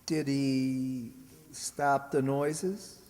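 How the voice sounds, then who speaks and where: Man playing smooth scheming robot double, echoey hall. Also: mid-range voice, flat delivery, very slow, a little mellow. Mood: secretive, resolved. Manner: smooth, evasive, coolly menacing. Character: scheming male robot double posing as a suave husband. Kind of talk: radio play acting. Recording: echoey hall, stage radio play.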